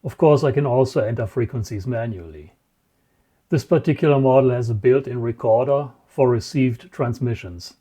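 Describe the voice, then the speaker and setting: A man presents a technical demonstration in a soft, mellow voice. His delivery is measured and matter-of-fact, explaining things as scripted narration at an average pitch. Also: breathy edge. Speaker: presenter, radio scanning enthusiast.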